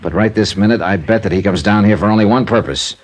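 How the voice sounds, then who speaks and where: An actor playing a tough, blunt, world-weary insurance investigator in an old-time radio detective drama, questioning in a clipped, insistent way. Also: male voice, on the low side, fast talker, natural voice. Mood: grim, insistent.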